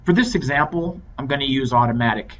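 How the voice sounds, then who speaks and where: A man giving a measured, step-by-step software tutorial as an instructor. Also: mid-range voice. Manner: measured, explanatory step-by-step delivery. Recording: dull lo-fi microphone with clear noise.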